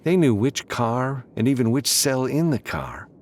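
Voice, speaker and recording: A confident, teasing freelancer woman from a space western , voiced by a male narrator. The delivery is lifted in pitch, playful and assertive, with faint noise behind it. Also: very animated, measured pace. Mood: brisk, teasing.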